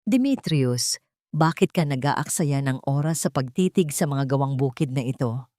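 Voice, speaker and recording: A woman with a Filipino accent voicing a scheming, imperious rich elderly matriarch with a sharp, authoritative manner in an audio drama. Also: a bass voice, expressive, moderate pace, no echo, clean studio sound, voice pushed down. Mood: commanding, scornful.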